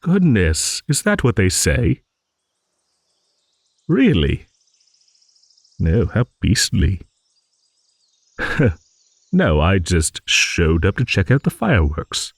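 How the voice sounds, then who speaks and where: A man voicing an ancient, genial dragon in an improvised fantasy audio roleplay, rambling and musing like a refined, hesitant old gentleman. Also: low voice, huge pitch swings, takes it slowly, vocal fry, pauses often, slightly rough, no room sound, heavily acted. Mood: amused, reminiscing.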